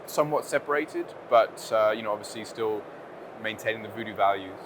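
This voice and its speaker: A man's voice: on the low side, fairly quick. British man, noisy interview recording. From United Kingdom. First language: Dutch. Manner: hesitant, with frequent "you know". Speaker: interview guest, game studio general manager. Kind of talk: conversational interview answers. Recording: clear background noise, busy venue ambience.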